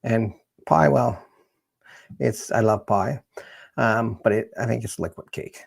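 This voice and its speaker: A Canadian man hosting a casual livestream, chatting to viewers in a rambling, halting way as he thinks aloud, with a gravelly, husky voice. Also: lowish voice. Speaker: livestream host, genealogist.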